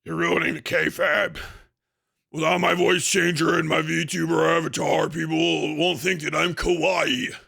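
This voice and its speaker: A man's voice: fairly high pitch, level tone, measured pace, creaky, bright, forceful tone, breathy edge, full character voice. A man voicing a whiny, indignant internet streamer who pleads melodramatically in a mocking livestream skit. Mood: whiny pleading.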